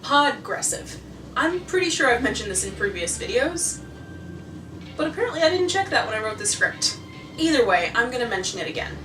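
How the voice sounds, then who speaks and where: American female vlog host, higher voice, chatty and keen, recorded off-mic with music behind. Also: reverberant, recorded from a distance, rough recording. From United States. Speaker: video host. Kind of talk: scripted recommendation vlog, casual chat.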